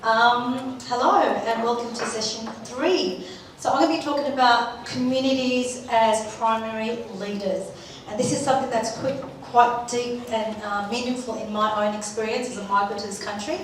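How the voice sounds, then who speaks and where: A woman from Turkey giving an informal, personal conference talk in an expressive, chatty and heartfelt way. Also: fairly high pitch, moderate pace. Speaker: conference speaker. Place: large echoey room at a live event. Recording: echoey hall, distant mic, faint noise.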